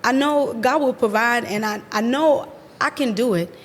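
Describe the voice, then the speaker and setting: A woman with an American accent, a guest in an interview, telling her own story in an expressive, animated way. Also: fairly high pitch, normal speed, big-room sound, a little hiss or hum. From USA.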